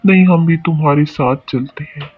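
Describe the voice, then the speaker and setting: A woman's voice: deep voice, moderate pace, faint music behind, voice pushed down, lightly acted. A woman voicing an adult male bird, a demanding husband and father in a children's cartoon story. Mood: hungry, insistent. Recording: muffled lo-fi audio.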